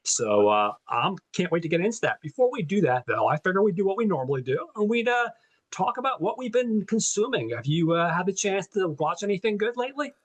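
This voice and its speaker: A man's voice: sits high, big pitch swings, fairly quick. A man co-hosting a movie podcast, chatting in an upbeat, enthusiastic way and often repeating words as he starts. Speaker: podcast co-host. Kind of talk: casual chat.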